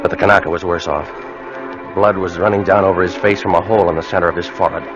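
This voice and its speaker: A man's voice: low voice, fairly even intonation, fast talker, music in the background, lightly acted. An actor playing an earnest ship's officer who tells his tale in an old radio drama with grave, tense delivery. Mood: grave narration. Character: earnest, steady ship's officer recounting a haunted voyage. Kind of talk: dramatic first-person narration, 1940s-50s radio acting. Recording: muffled old radio recording, dramatic score.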